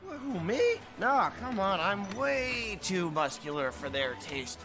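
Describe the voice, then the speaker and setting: A scrawny teenage Viking boy in an animated film. The voice is high and nasal, with lively swings in pitch. He is sarcastic yet earnest and tends to stammer, over a prominent musical score. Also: takes it slowly, music in the background, full character voice. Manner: wry, hesitant, stammering.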